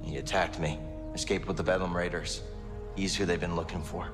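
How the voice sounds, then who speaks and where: Casting: male actor as young Jedi adventurer, deep register, terse and sincere, weary yet hopeful, game cutscene with reverb and score. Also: steady tone, brisk, over music, natural voice. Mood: grave. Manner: terse, understated, earnest. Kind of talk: video game cutscene acting.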